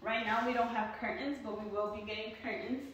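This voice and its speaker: House-tour vlogger, woman from New York, higher voice, rambling and chatty, mic a bit distant in very echoey bare rooms. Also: steady tone, fairly quick, fairly bright tone, slight background noise. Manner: chatty, rambling with many ums and likes. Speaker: vlogger giving a home tour. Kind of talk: casual walking house tour. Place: indoors, sparsely furnished new house.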